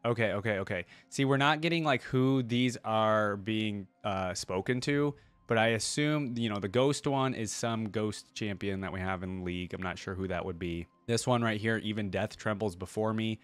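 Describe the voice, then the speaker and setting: A man's voice: fairly low pitch, moderate pace, hardly any pauses, clear, resonant tone, no room sound. A man giving casual, off-the-cuff commentary as the host of a reaction video, often repeating his words.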